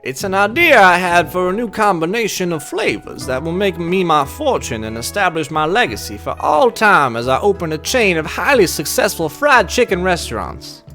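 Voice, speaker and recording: A man's voice: sits high, sing-song, normal speed, few pauses, sharp tone, soft background music, voice pushed up, heavily acted. A man voicing a smug, ambitious young student chef with a coy, declaiming manner, for a visual novel game.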